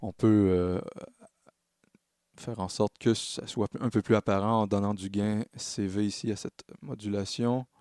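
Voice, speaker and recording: A man narrating a software tutorial as a music production tutor, explaining in a halting way and correcting himself as he goes. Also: lowish voice, steady tone. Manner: hesitant, halting phrasing with self-corrections.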